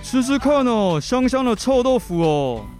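A man's voice: very high-pitched, very animated, measured pace, a little mellow, faint music behind, full character voice. An amateur actor, a man, playing a joking father who runs a night-market food stall in a children's radio drama. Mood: hawking.

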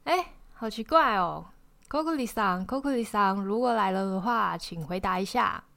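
A woman's voice: mid-range voice, animated, normal speed, creaky, fairly bright tone. Woman acting bold schoolchild leading a seance. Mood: eager. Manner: directing, insistent. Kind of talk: amateur audio drama acting.

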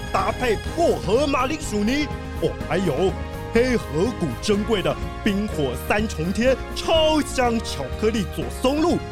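Guy doing flustered servile butler in a kids radio play, high lively voice, music under it. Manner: apologetic, stammering, polite. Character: deferential, flustered butler serving a rich master. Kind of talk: children's audio drama acting.

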